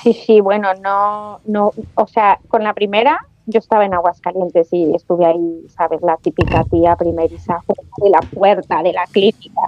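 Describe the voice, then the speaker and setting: A Mexican woman guest talking in a chatty, animated way, with colloquial slang. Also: fairly low pitch, normal speed, hardly any pauses, dull audio. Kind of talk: casual podcast conversation.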